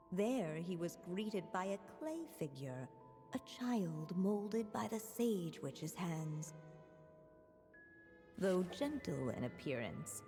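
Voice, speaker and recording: A woman giving scripted fairy-tale narration in a game, in a slow, gentle storytelling manner. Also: fairly low pitch. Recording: reverberant, with faint music.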